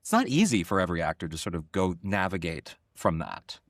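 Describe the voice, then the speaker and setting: A man's voice: low-pitched, big pitch swings, a little fast, no room sound, clean studio sound. A man interviewing guests in a casual, chatty and enthusiastic way. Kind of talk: casual chat.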